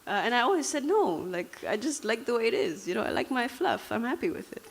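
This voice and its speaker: A woman's voice: on the high side, big pitch swings, fairly quick, creaky, breathy edge. A young woman from Namibia with a Dutch accent, giving a reflective, conversational personal talk with frequent fillers. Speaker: speaker.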